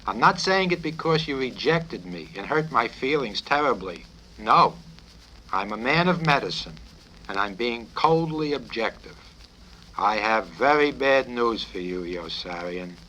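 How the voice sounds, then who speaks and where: A man's voice: medium pitch, sharp tone. A man voicing a petulant, self-righteous army psychiatrist in an audiobook, falsely reasonable and indignant, on a vintage record. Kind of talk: audiobook character voice. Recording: noisy vintage vinyl record.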